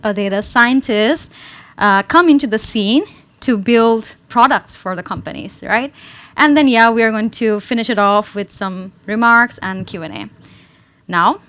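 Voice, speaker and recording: A woman's voice: on the high side, moderate pace, fairly bright tone, clean tone. A young woman from Bangladesh, a data analyst, presenting a talk and often adding "right?" to her points. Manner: adds tag "right?" often. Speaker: data analyst and engineer, speaker.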